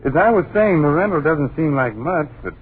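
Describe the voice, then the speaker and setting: A man's voice: on the high side, expressive, brisk, breathy edge. An actor with an American accent playing a brash, easygoing, slangy father in an old-time radio drama. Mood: breezy, explaining. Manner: slangy, breezy, dismissive. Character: brash, easygoing young father renting a country house. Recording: noisy, tinny vintage radio recording.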